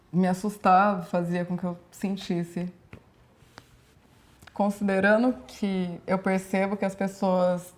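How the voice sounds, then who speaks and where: A woman in her twenties from Brazil, a performance artist speaking reflectively in a documentary interview, with measured, slow pauses. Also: medium pitch. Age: 20s.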